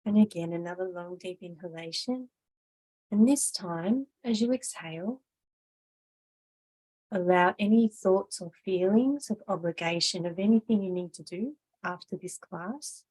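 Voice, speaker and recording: A woman with a slightly low voice leads a yoga class as its teacher. She speaks very slowly and gently, giving measured instructions in the style of a guided meditation. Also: slightly rough, rough recording. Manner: slow, gentle, measured guiding.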